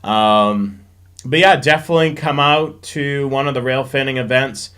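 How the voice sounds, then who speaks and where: A man with a mid-pitched voice hosts a casual live stream. He talks informally, explaining technical points and thinking out loud as he answers questions. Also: moderate pace, smooth. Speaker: host of a railfanning live show.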